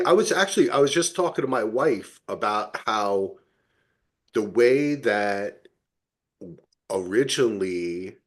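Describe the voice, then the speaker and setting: Male podcast host, low-pitched and very animated, casual and enthusiastic. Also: breathy edge. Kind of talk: casual chat.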